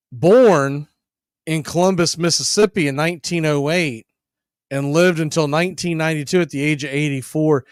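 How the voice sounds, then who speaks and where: Dry close mic, man, high-pitched voice, emphatic sports radio host, deliberate phrasing. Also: dry recording. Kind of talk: radio promo and commentary.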